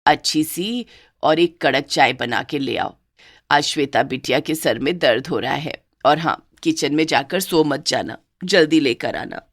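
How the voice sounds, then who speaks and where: A woman voicing a doting but bossy older mother-in-law in a cartoon story, speaking lower and slower. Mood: scolding. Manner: lower, slower, scolding toward one and sweet toward the other.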